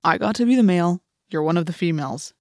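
Audiobook team leader, teenage boy played by a woman: calm, firm, decisive, lowish pitch. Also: fast talker, no echo, studio quality. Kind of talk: audiobook character voice.

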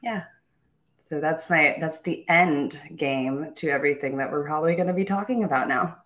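A woman's voice: deep voice, moderate pace, a bit bright. A young woman telling her own life story in an interview, upbeat and self-assured, with small laughs and restarts. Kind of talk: candid personal storytelling.

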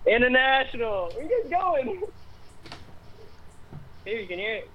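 A man doing a rowdy high-voiced Canadian caller on a tinny phone. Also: very animated, a little slow, slight background noise, exaggerated voice. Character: rowdy young male caller in a car with friends. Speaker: prank caller.

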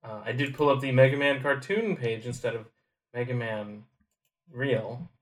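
A man's voice: mid-range voice, normal speed. A man co-hosting a podcast, chatting in an easygoing, hesitant way with ums. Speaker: podcast co-host. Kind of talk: casual chat.

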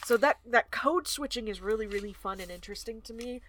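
A woman with a soft Southern US accent, co-hosting a casual book podcast, blunt and swearing freely. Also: fairly high pitch, a little fast, a little hiss or hum. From southern United States. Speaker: podcast co-host. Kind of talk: casual book-discussion chat.

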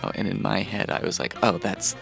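A low-pitched man tells personal stories in a casual, conversational way. His delivery swings freely and has a creaky edge. Soft music runs underneath. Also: flat delivery, fairly quick. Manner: conversational, chuckling as he recounts childhood memories.

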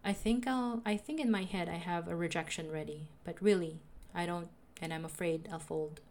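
A young woman with a soothing voice, calmly narrating a personal audio diary as a podcaster. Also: lowish voice, normal speed. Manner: calm, reflective, confessional.

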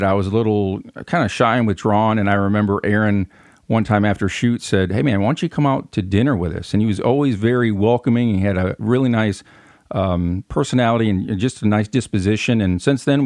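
Low-voiced middle-aged man, halting and conversational. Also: no echo, studio quality. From Michigan, United States. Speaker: podcast guest. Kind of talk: casual conversational answers.

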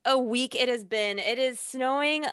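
A woman's voice: high voice, a little slow, fairly bright tone, clear, resonant tone, dry recording, clean studio sound. A woman with a Chicago accent co-hosting a podcast, explaining design topics with enthusiasm. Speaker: podcast co-host. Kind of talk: casual podcast chat.

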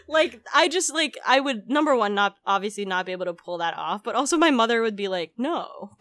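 A woman's voice: fairly high pitch, lively intonation, moderate pace, fairly bright tone. A woman in her twenties, raised in the Philippines, co-hosting a podcast in a chatty, giggly way full of "like" and "um". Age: 20s. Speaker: podcast co-host. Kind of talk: casual podcast chat.

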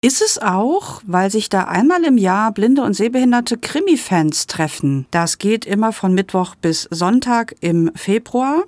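A woman's voice: medium pitch, animated, measured pace, hardly any pauses, darkish tone, no echo. A woman presenting a report in a conversational way, as a host. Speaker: host introducing an event report.

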